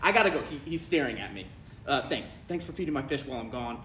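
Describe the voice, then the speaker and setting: A man playing an exasperated, defensive son in his thirties in a live comedy table read, arguing in a halting, incredulous way. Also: mid-range voice, expressive, a bit bright, lightly acted. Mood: flustered. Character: Ryan, exasperated thirty-six-year-old son, needling and defensive. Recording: roomy live recording, faint background noise.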